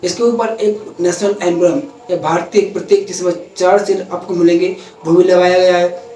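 A young man presenting a news explainer to camera, in an earnest, steady way, sometimes correcting himself mid-sentence. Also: sits high, moderate pace. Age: young adult. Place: indoor room, parliament backdrop added behind. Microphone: camera a few feet away, no mic in view. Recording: echoey room, voice a bit far from mic, faint noise.

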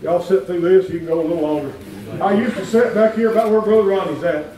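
High-voiced preacher in echoey church. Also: male voice, fast talker, distant mic. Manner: folksy, plain-spoken, rises to shouting. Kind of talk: revival sermon. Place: wood-paneled church sanctuary. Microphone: far room camera. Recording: echoey church heard from afar.

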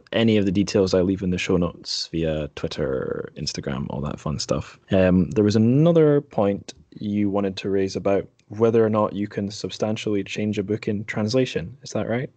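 Male podcast interviewer, low voice, conversational delivery, dry studio-like closeness. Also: mellow, no echo.

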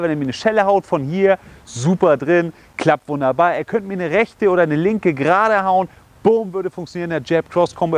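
Guy in his 30s, bit of a cold, lively self-defense teacher outside, slightly high voice, camera mic. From Germany. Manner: energetic, explains with sound words like boom and bam. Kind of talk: outdoor self-defense demonstration. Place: outdoors on a grassy park slope.

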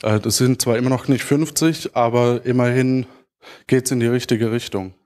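Man, thirties, stuffed-up nose, mid-pitched, hesitant phrasing, informal conference talk, handheld mic. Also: steady tone, normal speed, darkish tone. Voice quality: congested from a cold. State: has a head cold. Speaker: podcast creator giving a conference talk. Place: auditorium stage. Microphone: handheld microphone.

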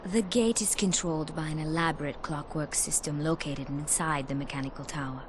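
An actress playing a stern, mysterious, fatalistic woman in a dark fantasy video game, speaking solemnly and haughtily. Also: low-pitched, a little fast, background noise, natural voice. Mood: solemn instructing. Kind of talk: dramatic video game acting. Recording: game music behind. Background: music.

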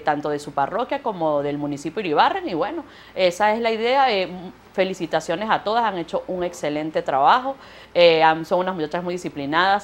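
Venezuelan woman in her thirties, tourism official on live TV, fairly low voice, hesitant. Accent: Venezuelan Spanish. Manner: hesitant, many fillers and restarts. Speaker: president of a tourism corporation, guest. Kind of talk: live TV interview. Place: TV studio. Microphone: handheld mic.

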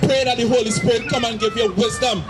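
Man, Trinidadian accent, street preacher, forceful, repeats himself, noisy traffic around. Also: very high voice, steady tone, quick pace, a loud crowd. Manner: forceful, repetitive, shouting at times. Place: city street outdoors, crowd around. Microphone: handheld mic through a loudspeaker.

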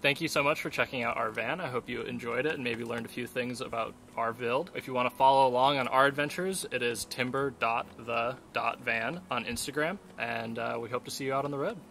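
Young north american guy casually showing off his camper, bright forceful voice, medium pitch. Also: fairly even intonation, a little fast, gravelly, hardly any pauses, a little hiss or hum. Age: young adult. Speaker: van owner giving a tour. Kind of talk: casual walkthrough to camera. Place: in and around a camper van in the woods.

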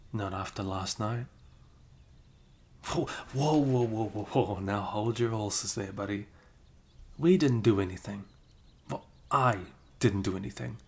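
Low gravelly guy whispering close to the mic, soft-spoken best friend asmr vibe. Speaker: ASMR roleplay creator. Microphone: close microphone.

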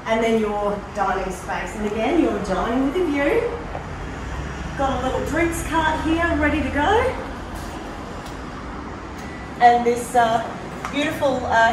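A woman's voice: a little high, animated, measured pace, long pauses. A middle-aged Australian woman giving a casual, conversational and enthusiastic walk-through tour as a presenter. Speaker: presenter of a prize home tour. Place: walking through hard-surfaced apartment rooms. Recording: roomy echo, distant mic, background noise.

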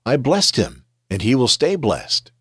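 A man reading aloud the words of a gentle, solemn old blind father in a scripture reading. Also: mid-range voice, very animated, unhurried, slightly rough, no echo, studio quality, voice pushed up, exaggerated voice.

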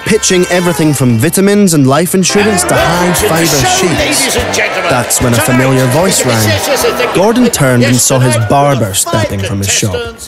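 A man's voice: on the high side, big pitch swings, measured pace, loud music behind the voice. A man narrating a scripted audio play as a dramatic storyteller. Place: dry recording studio.

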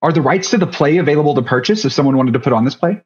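Man, thirties, fairly high voice, casual interviewer, muffled roomy recording. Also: animated, very fast. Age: 30s. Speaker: host, interviewer. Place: home room, indoors. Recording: dull, echoey video call.

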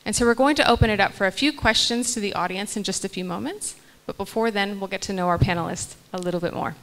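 A woman's voice: medium pitch, fast, fairly bright tone, low-quality recording. A young woman moderating a panel on a stage, reading guest introductions into a handheld mic and laughing off her small slips. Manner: reads bios, laughs lightly at her own slips. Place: large hall stage. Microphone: handheld microphone.

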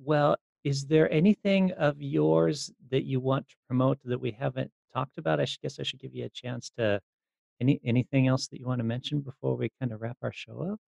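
A man's voice: mid-range voice, fairly even intonation, brisk, gravelly, a little mellow, no echo, highs cut off. A man hosting a podcast in a casual, informal, self-deprecating way, chatting as he interviews. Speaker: podcast host.

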